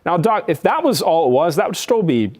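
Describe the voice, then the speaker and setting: A man in his thirties reporting on a news discussion show, halting and emphatic, repeating phrases as he reads out and explains a report. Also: a little high, sing-song, darkish tone. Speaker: news correspondent. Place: television studio.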